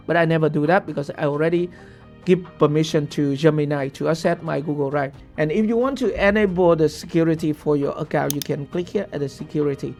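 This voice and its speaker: Gravelly young man walking through software. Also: on the high side, a little fast, soft background music. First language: Vietnamese. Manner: explains step by step with frequent "okay?". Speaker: tutorial host. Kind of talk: screen-share software tutorial.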